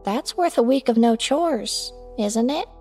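A woman's voice: on the high side, lively intonation, unhurried, darkish tone, soft background music, pitched above the performer's own voice. A woman voicing an eager young girl in a horror story, coaxing and persuading like a little schemer. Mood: persuasive. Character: eager, scheming young girl pestering her older brother.

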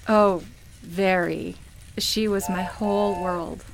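A woman's voice: fairly low pitch, steady tone, takes it slowly, vocal fry, background noise. An actress in a radio play playing a sincere, wistful woman who reminisces hesitantly about her life. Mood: fond, nostalgic. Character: wistful woman grieving her beloved grandmother at Christmas. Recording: music playing behind the voice. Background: music.

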